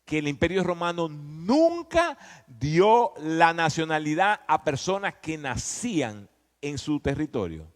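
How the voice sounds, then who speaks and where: A man preaches in a fairly high, very animated voice. He teaches emphatically and conversationally, throwing questions out to his listeners. Long reverb from the hall trails his words. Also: unhurried. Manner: emphatic, didactic, often prompting listeners with questions.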